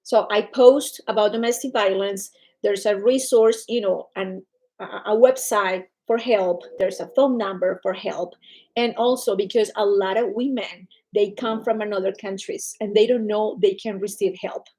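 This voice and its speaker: A woman's voice: medium pitch, normal speed. A woman from Colombia with a Colombian Spanish accent, talking casually and energetically to viewers on her own livestream. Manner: energetic, chatty, greets viewers by name. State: recovering from illness. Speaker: livestream host.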